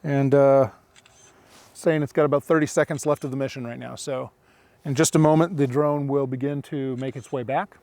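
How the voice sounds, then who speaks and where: A man's voice: medium pitch, steady tone, normal speed, a little mellow, no room sound. A middle-aged man giving casual running commentary as he hosts a gear demonstration outdoors. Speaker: drone pilot and video host. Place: outdoors in open dry hills.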